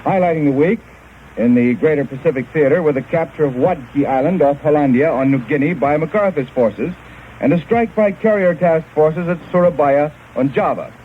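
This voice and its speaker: Through a narrow, crackly old radio transmission comes an American man with a fairly high voice. He reads scripted war news in a formal, measured 1940s correspondent style. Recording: noisy, narrow-band 1940s shortwave radio recording.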